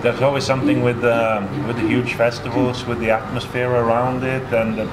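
A man's voice: medium pitch, little pitch movement, background noise. A middle-aged Norwegian man answering interview questions about his band, hesitant and full of restarts, at a table mic in a small festival room. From Norway. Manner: halting, repeats words, many fillers. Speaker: band member. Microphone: table mic in front.

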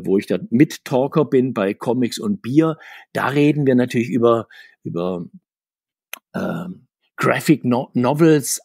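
A man talking conversationally as an interview guest, talkative and often correcting himself, with a husky voice. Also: mid-range voice, mellow, no echo, clean studio sound. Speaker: guest, comics author.

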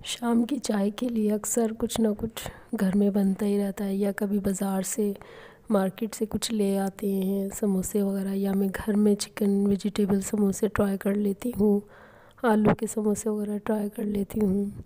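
A middle-aged woman calmly narrating her home cooking and daily routine vlog. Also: medium pitch, measured pace. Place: home kitchen and rooms.

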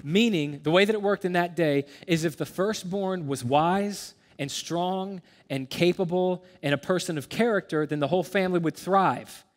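A man's voice: sits high, normal speed, hardly any pauses. A man in his thirties giving a conversational teaching sermon as a pastor, earnest and explanatory. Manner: earnest, explanatory, with rhetorical questions. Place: large church auditorium stage, indoors. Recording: reverberant hall sound.

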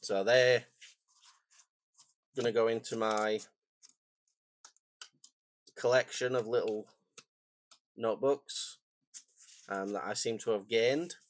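A man, croaky and phlegmy from a cold, chatting casually and rambling through a stationery unboxing at his desk. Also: lowish voice, fairly even intonation, slow, long pauses, no room sound. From England. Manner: rambling, self-deprecating, chatty asides. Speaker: stationery vlogger. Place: home desk, indoors.

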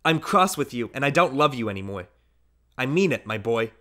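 A man's voice: medium pitch, expressive, bright, forceful tone. A man reading aloud, voicing a middle-aged prosecutor who firmly scolds his young son. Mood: stern. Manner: scolding, measured and firm.

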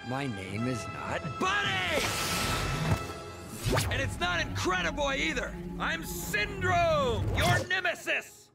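Casting: bratty young supervillain for game cutscenes, high swooping voice, mocking showman, over loud music. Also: huge pitch swings, slow pace, creaky, full character voice. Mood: indignant. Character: gloating young male supervillain, resentful former fanboy. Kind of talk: animated video game cutscene acting. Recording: loud film-style music underneath.

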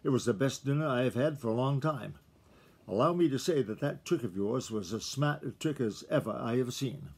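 A man's voice: medium pitch, a little fast, a little mellow, husky. A man reading a sly, polite coyote. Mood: mock-polite. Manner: pleasant, mocking politeness. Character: sly grinning male coyote, mischievous and polite.

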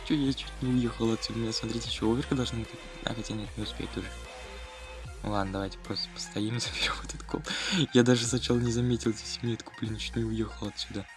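A man's voice: fairly low pitch, fairly bright tone. A man giving casual, slangy running commentary on his gameplay for viewers, as host of a gaming video.

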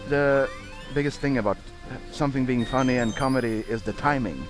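A young man with a Swedish accent giving casual gameplay commentary, excitable and quick to swear when startled. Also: medium pitch, over music. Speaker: let's player.

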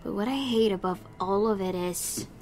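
A woman's voice: medium pitch, normal speed, darkish tone, faint music behind. A woman hosting a gaming video, reading visual novel narration aloud with dramatic delivery and casual asides.

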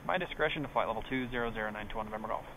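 Rapid-fire male pilot on noisy radio. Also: lowish voice. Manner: clipped, procedural. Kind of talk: air traffic radio calls. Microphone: aviation headset. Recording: loud noise on cockpit radio.

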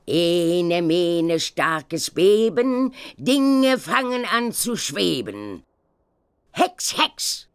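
A woman voicing a stern old witch examiner in a children's computer game, instructing in a deliberate, theatrical way. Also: on the low side, very animated, smooth, heavily acted. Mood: incantatory.

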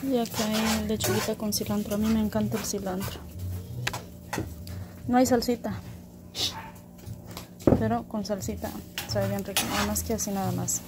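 A woman's voice: mid-range voice, flat delivery, moderate pace, pauses often, heavy background noise. A woman in her thirties chatting casually to her viewers in a home vlog, recorded on a phone in a small kitchen. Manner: chatty, addresses viewers as friends. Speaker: vlogger.

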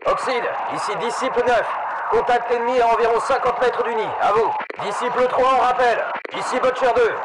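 Urgent, very high-voiced soldier. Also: male voice, moderate pace. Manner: procedural call-sign reports. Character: male mercenary field soldier reporting in, urgent. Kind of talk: scripted military radio chatter. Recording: very noisy field radio chatter.

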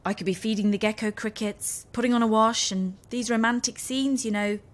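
An actress playing a lonely, eager-to-please romance novelist in an audio drama, hesitant and apologetic. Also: female voice, a little high, steady tone, brisk, lightly acted. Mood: shy, flattered. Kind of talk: naturalistic audio drama acting.

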